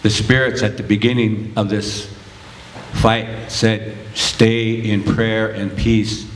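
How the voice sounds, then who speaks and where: A man speaks at a community gathering, declaiming slowly and deliberately. His voice sits on the low side. The sound is roomy, with a faint hum of noise behind him. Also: level tone. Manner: slow, declaiming, prayerful. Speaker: speaker at a rally. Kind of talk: impassioned speech. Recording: echoey hall with background noise.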